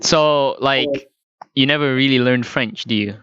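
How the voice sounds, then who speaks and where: A man's voice: medium pitch, a little slow, a bit bright, clear, resonant tone, no echo, highs cut off. A teenage boy co-hosting a casual podcast, chatty and full of fillers, swearing freely and firing off questions. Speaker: podcast co-host. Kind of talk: casual chat.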